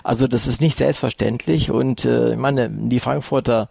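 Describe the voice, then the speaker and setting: A male interviewer with a gravelly, slightly husky voice leads a chatty conversation. The sound is dry and close-miked, and the delivery stays conversational with occasional fillers. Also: medium pitch, fairly even intonation, normal speed, mellow, dry recording. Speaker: interviewer host.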